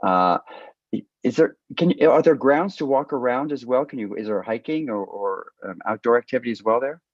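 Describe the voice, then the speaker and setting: A man moderating an informal panel as host, speaking haltingly and appreciatively over a video call. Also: medium pitch, animated, dry recording, dull audio.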